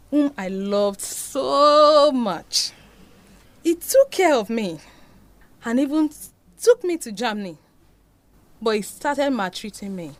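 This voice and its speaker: A woman playing an anguished, devout Nigerian mother confessing a painful past in an audio drama. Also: fairly high pitch. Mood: solemn, pained.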